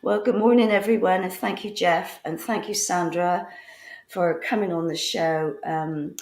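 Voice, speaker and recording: A woman with a husky voice after a cough co-hosts a radio interview, asking chatty, rambling questions. Her pitch sits on the low side. Her voice echoes as if she is in a bare room. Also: fairly even intonation, a little slow, a little mellow. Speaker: co-host. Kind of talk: casual interview hosting.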